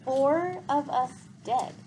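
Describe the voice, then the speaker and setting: A woman's voice: high-pitched, extremely slow, a little mellow, a little hiss or hum, voice pushed up, low-quality recording. A woman voicing an anxious, bewildered boy in a storybook, blunt and full of questions. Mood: stunned. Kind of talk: storybook read aloud.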